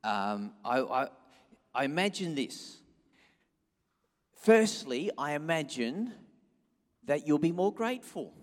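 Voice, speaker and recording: A man with a strong, loud voice, preaching an informal, conversational sermon with an emphatic, urging manner. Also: fairly high pitch, sing-song, slow pace, pauses often. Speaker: pastor. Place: church hall, amplified by sound system. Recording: echoey hall with long reverb.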